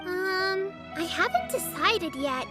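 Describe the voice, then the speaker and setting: A woman's voice: very high voice, very animated, slow, over music, heavily acted. An actress voicing a cheerful, curious little girl who talks sweetly and eagerly.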